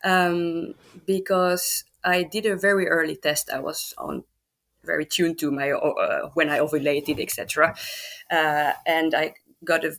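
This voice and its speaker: A woman's voice: low voice, fairly even intonation, unhurried, a bit bright. A woman from France in her thirties, a mother telling her birth story in a casual interview, thoughtful with frequent fillers. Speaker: guest mother.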